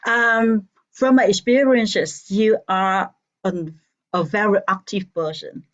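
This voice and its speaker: A woman hosting an interview, asking unhurried questions and murmuring agreement. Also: mid-range voice. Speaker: interviewer host. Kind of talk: casual interview chat. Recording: dull lo-fi sound.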